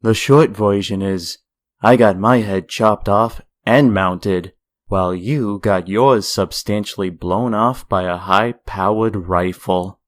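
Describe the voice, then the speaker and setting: A man's voice: fairly low pitch, dry recording. A man playing a sardonic dead male villain in a comic dub, wry and mocking. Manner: wry, mocking, drawn-out endearments.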